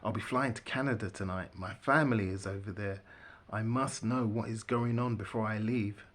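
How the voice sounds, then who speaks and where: A man's voice: lowish voice, steady tone, normal speed, a bit bright. A man voicing an anxious, earnest man who pleads for answers in a storybook. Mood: pleading, tearful.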